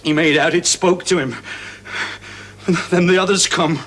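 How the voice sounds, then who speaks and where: An actor playing an uneasy, simple country man with a halting, defensive way of talking, in a 1970s television drama. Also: high voice, fairly even intonation, a bit bright. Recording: old TV soundtrack, faint noise.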